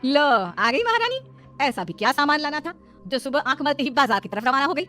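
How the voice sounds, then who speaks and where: Woman voicing a high, scheming, shrill sister-in-law. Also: very animated, brisk, bright, forceful tone, soft background music, exaggerated voice. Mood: scolding, sarcastic. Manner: sarcastic, shrill complaining. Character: greedy, scheming, scolding sister-in-law, married young woman. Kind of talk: animated Hindi moral-story cartoon voicing.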